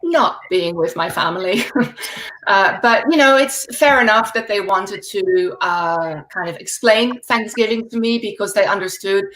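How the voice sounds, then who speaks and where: A woman in her forties, raised speaking Dutch in the Netherlands, doing a stand-up set over a video call with dry deadpan delivery and laughing asides. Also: medium pitch, measured pace, slight background noise, low-quality recording. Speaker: stand-up comedian.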